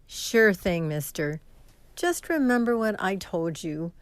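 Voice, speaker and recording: A woman plays an earnest, superstitious diner waitress in a radio mystery. She takes her time over each line, with lively pitch movement and a folksy turn, recorded dry and close. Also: takes it slowly, no echo. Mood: welcoming. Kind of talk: radio mystery drama acting.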